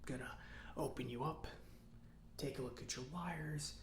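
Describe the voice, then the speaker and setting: A man playing a friendly, nerdy, chatty robot mechanic in a soft-spoken ASMR roleplay, breathy and rambling with casual hesitations. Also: medium pitch, unhurried, roomy sound, slight background noise. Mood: geeky, easygoing. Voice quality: soft, breathy, often near whisper. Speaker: repair shop mechanic character.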